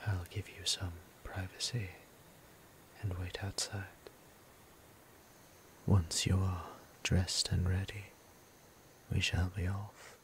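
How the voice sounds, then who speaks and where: Man acting a slow, whispering gentle vampire priest. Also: sits low, little pitch movement. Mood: courteous, inviting. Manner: formal, hushed, unhurried, tender, with deliberate pauses. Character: gentle, courteous male vampire priest, devoted caretaker. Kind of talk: intimate ASMR roleplay, mostly whispered. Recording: clear ambient background noise.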